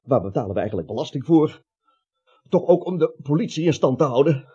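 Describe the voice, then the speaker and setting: A man's voice: a little high, expressive, fairly quick, warm tone, husky. A man playing a timid, grumbling businessman roused at night, stammering and protesting in a comic radio drama. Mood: flustered, grumbling. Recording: dull, lo-fi radio play recording.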